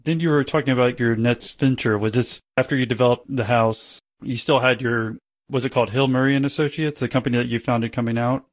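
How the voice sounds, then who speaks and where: A man's voice: medium pitch, steady tone, a little fast, no echo. A man hosting a podcast interview, asking casual conversational questions. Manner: conversational questions and read ad spot. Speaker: podcast host.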